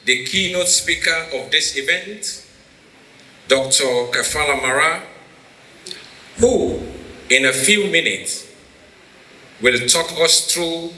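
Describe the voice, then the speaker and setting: A man giving a formal, ornate introduction from a podium with deliberate phrasing. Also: a little high, pushed and bright. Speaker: introducer of the keynote speaker. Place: podium in a hall. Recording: echoey hall with background noise.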